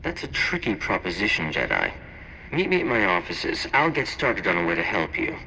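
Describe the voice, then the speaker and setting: An actor playing a sly, know-it-all tech expert in a video game, brisk and dismissive, through a radio filter. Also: male voice, low voice, wildly swooping pitch, pushed and bright, a little hiss or hum, exaggerated voice, poor audio. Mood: brisk, helpful. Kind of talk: video game dialogue acting.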